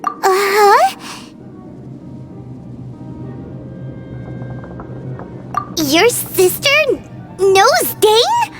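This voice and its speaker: A tiny, excitable fairy sidekick from a video game. The voice is extremely high and squeaky, bouncing all over in pitch like a small child, with loud music behind it. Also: female voice, extremely slow, full character voice. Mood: shocked. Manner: exclamatory, refers to self in third person. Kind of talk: video game cutscene acting.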